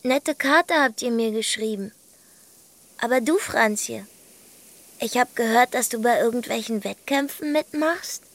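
A woman's voice: on the high side, a little slow, no echo. An actress playing a playful, curious young woman in a German audio drama, teasing and informal. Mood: friendly.

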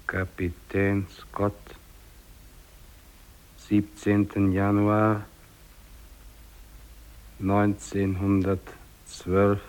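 An actor playing a dying, weary English polar explorer, speaking slow, declamatory monologue with long pauses in a 1950s German radio drama. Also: male voice, low voice, exaggerated voice. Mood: weary, solemn. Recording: noisy old radio drama recording.

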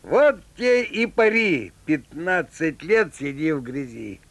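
An actor playing a sly, folksy storyteller, narrating a fairy tale in rhyming, theatrical style. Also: male voice, fairly high pitch, lively intonation, takes it slowly, no room sound. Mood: folksy, showy.

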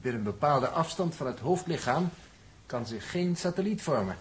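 An actor playing a polite, bookish space scientist in a 1960s Dutch radio play, explaining things in a formal, exact way with a Netherlands accent. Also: male voice, on the high side, expressive, moderate pace, a little hiss or hum, natural voice. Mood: calm, instructive. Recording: 1960s radio drama recording.

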